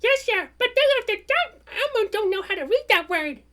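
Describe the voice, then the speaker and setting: A man's voice: extremely high pitch, big pitch swings, normal speed, pushed and bright, heavily acted. A man voicing a small, eager, innocent childlike monster in a comedic radio play, earnest and speaking of himself in the third person. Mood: polite, concerned.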